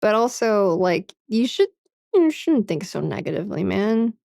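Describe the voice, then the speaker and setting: A young woman streamer talks things over with her viewers in a loose, frank way. She swears freely, laughs often, and leans on "like" and "man". Her voice sits at an ordinary pitch and sounds dry, with no room echo. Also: sing-song, normal speed, creaky, mellow. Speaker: livestreamer. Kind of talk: casual chat, reading and answering viewer comments.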